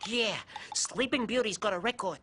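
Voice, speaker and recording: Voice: mid-range voice, normal speed, pushed and bright, slight background noise, lightly acted. An actor voicing an earnest little puppet boy in a cartoon, helpful, pedantic and matter-of-fact.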